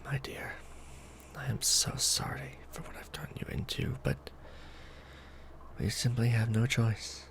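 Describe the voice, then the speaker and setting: A man playing a courtly, protective, brooding vampire lord in a soft, formal ASMR romantic roleplay. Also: mid-range voice, very animated, vocal fry. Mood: grave, apologetic. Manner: formal, archaic wording, slow and hushed.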